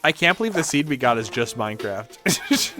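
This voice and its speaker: Lively, bright-voiced man streaming a game. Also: mid-range voice, moderate pace, faint music behind. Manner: rambling, self-interrupting, mildly sweary. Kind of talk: casual livestream gameplay chat.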